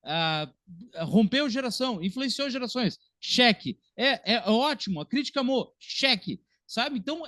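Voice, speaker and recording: A man's voice: sits high, no echo, studio quality. A Brazilian man in his thirties hosting a podcast, talking about film in an informal, talkative, conversational way. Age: 30s. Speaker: podcast host.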